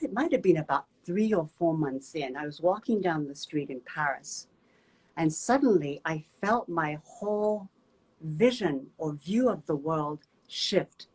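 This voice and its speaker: A woman, a guest movement teacher telling a personal story in a gentle, reflective way with many pauses. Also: lowish voice, husky, rough recording. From Japan.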